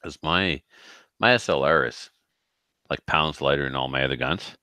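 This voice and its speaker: A man's voice: a bass voice, moderate pace, sharp tone, clean tone, dry recording. A man chatting casually as a podcast co-host. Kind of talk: casual chat.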